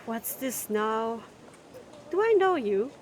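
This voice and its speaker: A woman's voice: on the high side, big pitch swings, takes it slowly. A woman playing a brusque, defiant spaceport captain in an audio drama. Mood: wary, annoyed. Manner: brusque, unimpressed. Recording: noisy mix with effects and ambience.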